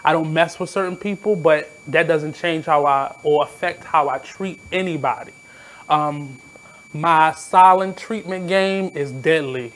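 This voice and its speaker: High-voiced man hosting a radio show. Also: unhurried, a bit bright, a little hiss or hum, poor audio. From Baltimore, USA. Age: 30s. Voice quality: naturally high voice. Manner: candid, blunt, reflective. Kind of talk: casual monologue.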